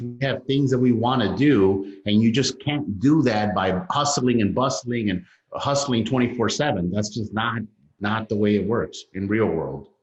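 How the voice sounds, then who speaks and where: A man from south Los Angeles, whose was not English, speaking as a podcast guest, candid and emphatic, swearing freely. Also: mid-range voice. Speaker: guest, real estate investor. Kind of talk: interview, telling his story. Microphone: over a phone line. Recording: dull lo-fi line with room echo.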